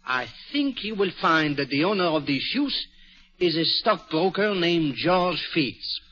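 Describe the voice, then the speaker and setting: An actor playing a polite, shrewd Belgian detective with a French accent, speaking precisely and theatrically in a 1940s radio drama. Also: male voice, a little high, expressive, unhurried, bright, forceful tone, heavily acted. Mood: explaining. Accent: French-accented English. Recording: dull lo-fi vintage radio broadcast.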